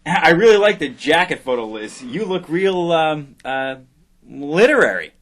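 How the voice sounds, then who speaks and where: Male reporter-interviewer, pointed, self-important, halting build-ups, audio satire. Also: fairly high pitch, sing-song, a bit bright. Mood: ingratiating. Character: needling, pompous male radio interviewer. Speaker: actor. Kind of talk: satirical radio interview acting.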